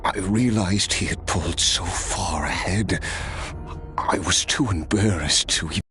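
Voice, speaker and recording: A world-weary immortal warrior from a fantasy game speaks in a deep, unhurried voice. He is reflective and wistful, with wry good humor. Soft music plays behind him. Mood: solemn reminiscence. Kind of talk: dramatic video game voice acting, storytelling.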